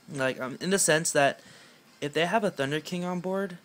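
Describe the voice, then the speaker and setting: A young man with a raspy voice, chatting casually at home about a card game deck, rambling with lots of fillers and self-mocking jokes. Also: high-pitched, a little slow, a bit bright. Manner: rambling, filler-heavy, self-mocking with frequent clearing of the throat. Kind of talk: casual talk to camera.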